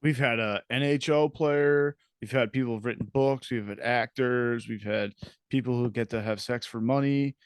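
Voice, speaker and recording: A man's voice: mid-range voice, normal speed, clean tone, no echo. A young man co-hosting a podcast, joking around in a playful, goofy way. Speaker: podcast co-host. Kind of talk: casual chat.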